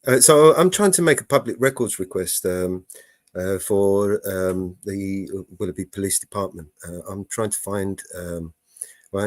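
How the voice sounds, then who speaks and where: British male host on a call, low voice, bone-dry sound, stumbles through fillers. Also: dry recording. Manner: hesitant, filler-heavy when explaining. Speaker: host calling a police department. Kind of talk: live-streamed phone call.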